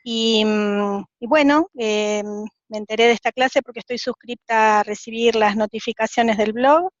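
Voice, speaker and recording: An Argentine woman from Córdoba speaking casually and haltingly as a participant in an online class. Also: fairly high pitch, no room sound. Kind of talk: casual self-introduction. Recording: dry, dull video-call audio.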